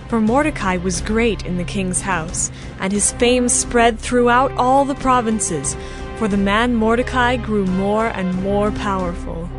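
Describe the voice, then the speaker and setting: A woman giving a formal, scripted narration of dramatized scripture as the storyteller. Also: medium pitch. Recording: music bed behind the voice.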